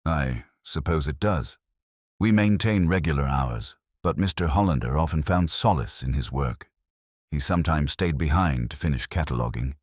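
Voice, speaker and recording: Man reading as deep-voiced, guarded records official. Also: moderate pace, breathy edge, no echo, studio quality. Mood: uneasy, careful. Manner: formal, careful, hesitant. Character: guarded, courteous male senior records official. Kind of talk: audiobook character reading.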